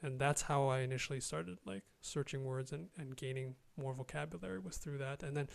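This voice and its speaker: A man's voice: medium pitch, brisk, vocal fry. A young man chatting as a guest on a video call, hesitant, with many fillers and restarts, recorded on a close studio mic in a small room. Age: young adult. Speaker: guest, language learner and youtuber. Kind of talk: casual video-call conversation. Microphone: studio mic close in front, earphones.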